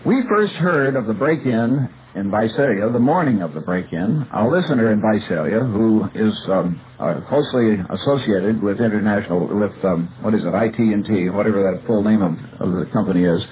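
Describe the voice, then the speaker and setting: Low-voiced American man on tinny, noisy radio. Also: expressive. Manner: halting, insistent, lecturing. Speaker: radio station owner and broadcaster. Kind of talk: talk radio commentary and phone questioning.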